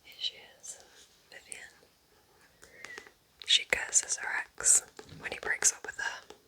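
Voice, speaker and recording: A woman whispering in a breathy, unvoiced voice, chatting gently and casually in short soft phrases as an ASMR presenter. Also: slow pace. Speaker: ASMR creator presenting book recommendations.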